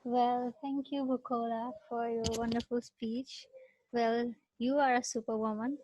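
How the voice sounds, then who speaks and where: A fairly high-voiced woman hosts a webinar and introduces speakers politely and formally. Her voice comes through dry, with no room sound. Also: little pitch movement, unhurried, darkish tone, no echo, low-quality recording. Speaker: webinar host. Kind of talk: hosting a webinar. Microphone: video call.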